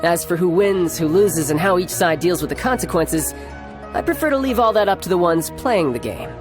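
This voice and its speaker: Game role: young warrior big brother, smooth-talking and cocky, very animated delivery, music underneath. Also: male voice, high voice, moderate pace. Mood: breezy. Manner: smooth-talking, breezy, self-assured. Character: breezy, cocky young male fighter, affectionate big brother. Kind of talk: animated video game character acting. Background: background game music.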